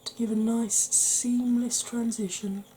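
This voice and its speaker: A woman from the UK, a beauty vlogger talking through a makeup tutorial in a quiet voice that keeps slipping into whispers. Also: fairly high pitch, steady tone, slow, a little hiss or hum. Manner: quiet, soft-spoken, drifting into whispers.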